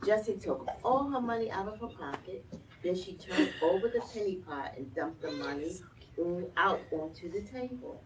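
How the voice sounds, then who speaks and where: A woman reading a children's story aloud in an expressive way, with chatty asides to the children and parents listening. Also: on the low side, normal speed. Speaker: library storytime reader. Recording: dull, distant, noisy room recording.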